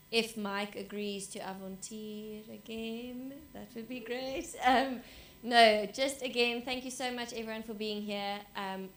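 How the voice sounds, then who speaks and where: A woman from South Africa wraps up a panel evening at an estate lounge, speaking in a flat, even tone. She thanks everyone warmly with frequent ums, and the room gives her voice a roomy echo. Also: medium pitch, slight background noise. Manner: grateful, chatty, with many ums. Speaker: event organiser closing the evening. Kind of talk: closing remarks at a live panel event. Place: lounge venue at a wine estate. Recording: echoey room recording.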